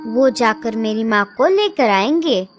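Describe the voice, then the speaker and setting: A young expectant sparrow pleads with her husband in a very high, light voice. She sounds anxious, and soft cartoon music plays behind her. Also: big pitch swings, a little slow. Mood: pleading.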